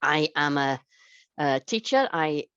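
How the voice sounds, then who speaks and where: A Welsh woman opens an online conference session in a loose, chatty way, stopping often on fillers. Her voice sits low. The sound is dull and very dry, as from a video call. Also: expressive, slow pace, fairly bright tone, smooth, no echo. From Wales. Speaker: conference chair. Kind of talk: hesitant online conference introduction.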